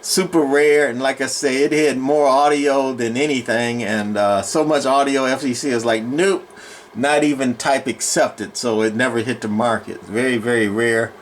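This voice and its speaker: Male hobby collector, mid-pitched, informal show-and-tell delivery, meandering and halting, some background noise. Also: fairly even intonation. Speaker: collector presenting his radio collection. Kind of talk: casual unscripted show-and-tell.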